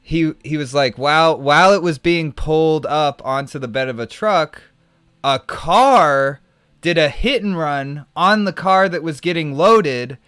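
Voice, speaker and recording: Rambling, animated male streamer. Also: on the high side, measured pace, clean tone, no room sound. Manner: animated, rambling, many restarts and "like". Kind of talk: casual storytelling while gaming.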